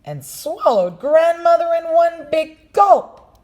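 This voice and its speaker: Slow, expressive woman reading a story. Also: very high voice. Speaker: storybook reader. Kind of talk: reading a children's story aloud.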